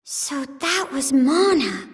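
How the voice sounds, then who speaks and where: A woman's voice: high voice, sing-song, slow pace, recorded from a distance, exaggerated voice. An actress playing a curious, headstrong girl of about ten in a video game, blunt and full of questions. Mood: inquisitive. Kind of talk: video game cutscene voice acting.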